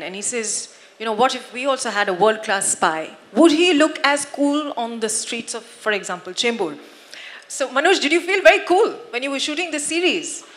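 A woman moderating a press conference, lively as she asks the panel questions. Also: a little high. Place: large hall.

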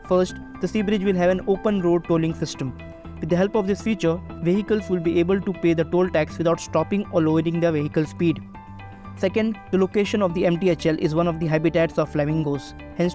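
Young indian english reporter guy, quick high voice, music under it. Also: mellow. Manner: brisk questioning. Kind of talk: news interview and voice-over narration.

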